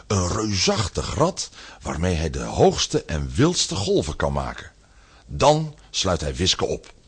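A man's voice: sits low, slightly rough. A man narrating a scripted children's audio story as a storyteller.

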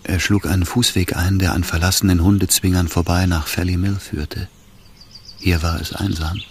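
An actor playing a calm literary narrator in a radio drama, reading in a measured, hushed, descriptive way. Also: male voice, low voice, slight background noise. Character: calm literary narrator of a sensual love story.

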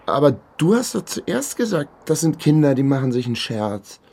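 An actor playing a sly, weary small-time private investigator in a radio crime drama, with a dry, laconic delivery. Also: male voice, fairly high pitch, big pitch swings, normal speed, background noise. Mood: worried, evasive. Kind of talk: German radio crime drama, first-person narration and dialogue.

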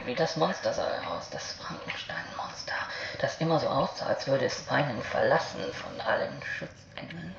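A man playing a brooding, deranged loner in an experimental radio-play monologue, speaking deliberately in halting fragments, often hushed and breathy, in a creaky voice. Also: mid-range voice, expressive. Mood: bleak, disgusted. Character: brooding, morbidly deranged male loner narrating from his tower. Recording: dull, noisy lo-fi radio-play recording.

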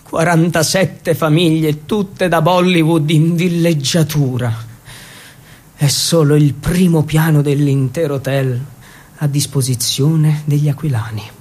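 A man performing theatrical scripted narration as a solo storytelling actor, dramatic and with deliberate pauses. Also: fairly high pitch, steady tone, a little mellow.

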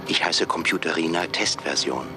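An actor playing a cold, blunt AI job interviewer in a radio play, speaking formally and condescendingly. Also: male voice, extremely low pitch, moderate pace, noisy background. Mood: cool, condescending. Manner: formal, clipped, condescending questioning.

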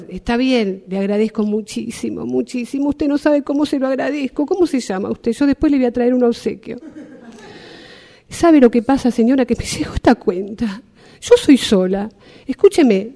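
A harried Argentine woman, acted out in a radio theatre exercise who pleads and argues insistently. Her voice is fairly high and soft-edged, and she repeats herself as she presses her case. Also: in a large hall. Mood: relieved, grateful. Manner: insistent, repetitive. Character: harried, pleading, indignant woman queuing over a gas bill.

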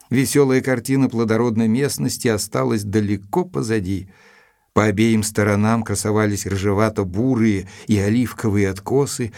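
An actor playing a gentleman narrator of a mystery tale, measured and expressive, in a literary audio drama. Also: fairly low pitch, no echo. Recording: dry studio with music underneath.

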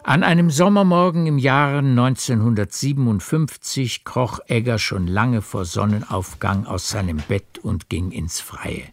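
A man narrating a literary radio play as a measured storyteller. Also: medium pitch, soft background music.

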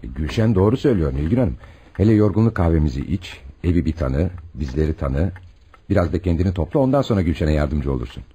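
An actor playing a calm, agreeable husband in a radio drama, measured and gentle. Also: male voice, low voice, breathy edge, heavily acted. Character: calm, agreeable married man of the house. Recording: muffled radio drama recording.

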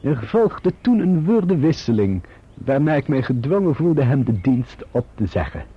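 A muffled radio drama recording of a servile Dutch manservant. His voice is very husky and swings widely in pitch. He reports in formal, deferential, self-serving phrases with careful hesitations. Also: sing-song, normal speed. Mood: formal, self-justifying. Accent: Netherlands Dutch.

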